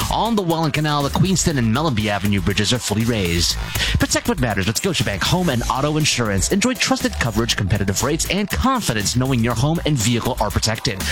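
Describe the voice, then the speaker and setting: A man giving a radio traffic update and reading a sponsor spot in a brisk announcer style. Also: a little high. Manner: brisk lively announcer delivery. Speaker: traffic reporter. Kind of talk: radio traffic report and ad read.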